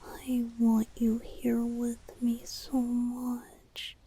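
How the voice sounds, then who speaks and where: A woman voicing a tender, playful, lovesick young male bard spirit in a romantic ASMR roleplay, speaking in a breathy, whispery, intimate way. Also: on the high side, monotone, dark tone, slight background noise, heavily acted. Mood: wistful longing. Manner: tender, intimate, slow and hesitant.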